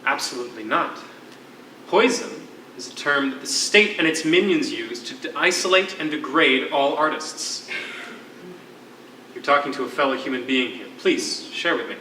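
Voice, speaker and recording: A stage actor playing a world-weary, wry imprisoned writer with a wordy, formal, sardonic manner. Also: male voice, medium pitch, moderate pace, pushed and bright, natural voice. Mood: courtly, probing. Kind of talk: theatrical stage acting. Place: on a stage in a hall. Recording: echoey theatre stage, distant mic, faint noise.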